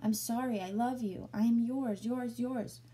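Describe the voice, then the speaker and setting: A woman reading the lines of a loyal, abused male dog who speaks softly and forgives his owner. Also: fairly high pitch, fairly even intonation, unhurried, recorded from a distance, voice pushed up, close to an everyday voice. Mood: pleading. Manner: soft, pleading, devoted.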